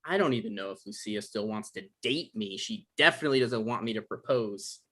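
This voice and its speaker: A man's voice: fairly low pitch, lively intonation, a little fast, a bit bright, slightly rough. A man acting an anxious, easily flustered novelist in a conversational comedy script reading. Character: anxious, defensive male novelist in his thirties.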